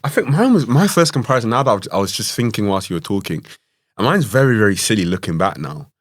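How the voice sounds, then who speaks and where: A young man co-hosts a casual podcast. His voice sits low and he tells his story in a chatty, animated way, full of "like" and "you know what I mean". The sound is close and dry, with no room around it. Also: fast, no room sound. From Ireland. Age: 20s. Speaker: podcast co-host.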